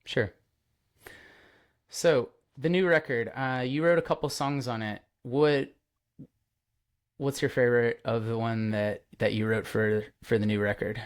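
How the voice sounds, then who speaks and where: A young man hosts a podcast in an easy, rambling way, full of "um" and "like". He sounds openly enthusiastic. His voice sits at a middle pitch for a man. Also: a little slow, long pauses, smooth. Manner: enthusiastic, rambling with many fillers. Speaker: podcast host. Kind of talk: casual interview chat.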